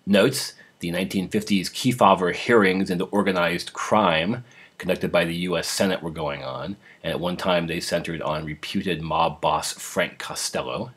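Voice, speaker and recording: A man with a husky voice, introducing old radio programs as the host. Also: sits low.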